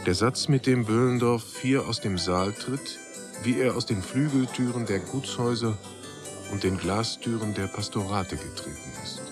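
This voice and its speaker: A man's voice: lowish voice, normal speed, over music. A man narrating a literary radio drama in German, in a scripted storytelling style.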